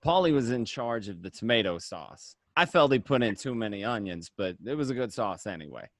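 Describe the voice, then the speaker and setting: Man acting a mobster narrator, lowish voice, wry, matter-of-fact, conversational storytelling, dry sound. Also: animated, a little fast, a bit bright, no room sound. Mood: wry reminiscing. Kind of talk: dramatic script reading of film narration.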